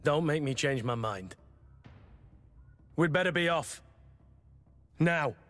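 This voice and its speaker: An actor playing a loyal but cynical mercenary soldier in a fantasy game, speaking bluntly and with command. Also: male voice, a little high, lively intonation, slow, a bit bright. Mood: earnest, urgent. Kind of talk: dramatic fantasy game acting.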